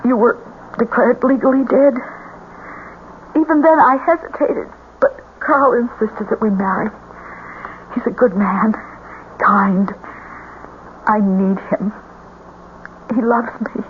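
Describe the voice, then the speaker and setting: Frightened wife, slow-spoken, muffled old radio. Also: female voice, fairly high pitch, slight background noise. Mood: sorrowful, fearful. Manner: halting, anxious, pleading. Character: frightened, pleading remarried wife of a fugitive. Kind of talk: radio drama acting.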